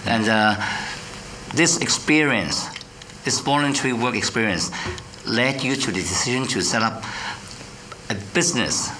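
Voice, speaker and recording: A man's voice: medium pitch, normal speed, pushed and bright, low-quality recording. A man hosting a studio interview, prompting his guest with questions and frequent short acknowledgments. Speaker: interview host. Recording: studio with clear background noise.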